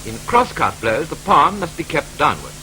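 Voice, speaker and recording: A British man narrates a wartime training film in a clipped, wry newsreel manner. His voice is bright and forceful and swings up and down. A faint hiss of an old film soundtrack runs beneath him. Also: fairly high pitch, wildly swooping pitch, vocal fry, low-quality recording. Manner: brisk, clipped, wryly matter-of-fact.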